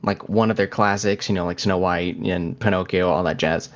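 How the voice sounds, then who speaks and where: A young man with a fairly low voice talks casually as a podcast co-host. He rambles from point to side note. The recording is a little dull and lo-fi. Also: fairly even intonation, moderate pace. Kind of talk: casual chat about pop culture.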